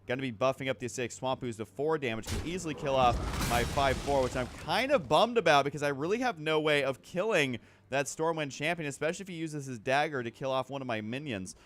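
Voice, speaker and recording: A man's voice: medium pitch, lively intonation, brisk, few pauses, a bit bright, slight background noise. A man hosting a gaming video with casual, off-the-cuff commentary, rambling a little as he thinks aloud.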